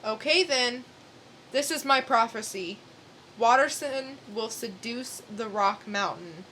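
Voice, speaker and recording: A woman's voice: fairly high pitch, slow, pushed and bright, a little hiss or hum, low-quality recording. A woman playing a blunt, imperious prophet with a salty tongue in an amateur dramatic comic book reading. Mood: solemn, imperious.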